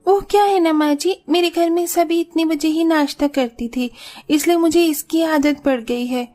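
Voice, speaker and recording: A woman's voice: very high voice, fairly even intonation, moderate pace, warm tone. A woman voicing a breezy, easygoing young daughter-in-law who casually makes excuses in a moral story. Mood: self-excusing. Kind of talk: animated moral story dialogue.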